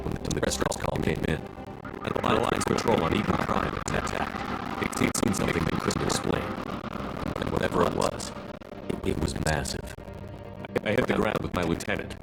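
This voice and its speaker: A man playing a seasoned space soldier in a video game, recounting past missions in grave, measured, clipped phrases. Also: low-pitched, vocal fry, rough recording. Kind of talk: scripted first-person game narration.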